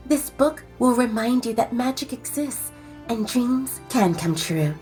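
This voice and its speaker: A woman narrator voicing the young women of a storybook audio drama in a higher, lively voice. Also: unhurried, faint music behind. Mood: gentle, dreamy. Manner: high lively character voicing.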